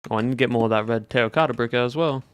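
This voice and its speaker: Male game streamer, mid-pitched and clear-voiced, affected US Southern accent, lax drawl, casual chat. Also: racing, dry recording. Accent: put-on US Southern. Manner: lax Southern drawl, draws out sentence endings. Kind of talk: casual gameplay livestream chat.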